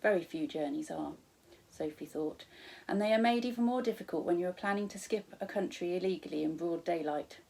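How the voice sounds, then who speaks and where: A woman's voice: fairly low pitch, a little fast, creaky, a little mellow, rough recording. A woman with a British accent, a primary school teacher, narrating a children's storybook expressively.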